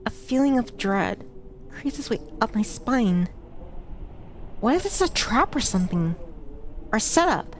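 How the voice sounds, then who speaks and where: A woman's voice: on the high side, very animated, unhurried, pauses often, slight background noise. A woman streamer reading a visual novel aloud, with casual, chatty asides between passages. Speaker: VTuber streamer. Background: background music.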